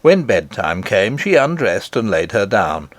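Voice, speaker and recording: A man reading a children's storybook aloud as expressive scripted narration. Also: on the low side, measured pace, dry recording. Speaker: audiobook reader.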